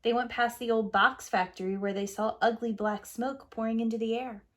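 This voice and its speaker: A woman's voice: fairly high pitch, fairly even intonation, normal speed. A woman preschool teacher narrating a children's picture book as a storyteller.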